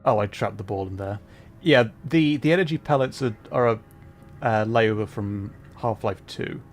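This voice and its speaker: A man hosting a livestream, giving casual, halting gameplay commentary as he thinks aloud. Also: lowish voice, faint music behind. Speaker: livestream host playing a video game.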